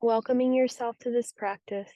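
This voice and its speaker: A woman guiding a yoga nidra relaxation practice with soothing, unhurried, gentle instruction. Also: a little high, steady tone, no room sound. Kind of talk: guided relaxation meditation.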